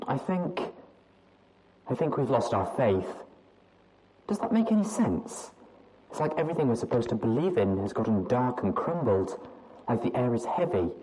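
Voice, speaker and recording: A guilt-ridden young mare pony talks in halting, rambling bursts, second-guessing every thought, as a woman reads her aloud from a storybook. The voice sits very low and mellow yet leaps up and down with lively swings. A faint hiss lingers behind it. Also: poor audio. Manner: rambling, hesitant, self-doubting. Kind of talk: audiobook reading of fan fiction.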